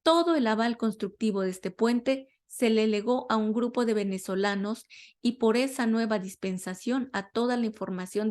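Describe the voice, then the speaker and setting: Woman teaching a relaxed spiritual class. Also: fairly high pitch. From Latin America. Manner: conversational, self-correcting, searching for words. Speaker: metaphysics teacher. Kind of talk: informal spiritual class talk.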